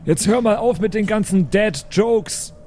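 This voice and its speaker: A man's voice: high voice, unhurried, mellow, soft background music. A man talking casually as a player in an improvised tabletop role-playing game, planning with the group. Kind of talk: casual in-character planning talk.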